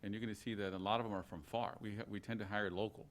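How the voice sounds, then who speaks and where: A man presiding over a public meeting, making informal remarks in a halting way. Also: fairly low pitch, level tone. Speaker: presiding city leader. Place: city commission meeting room.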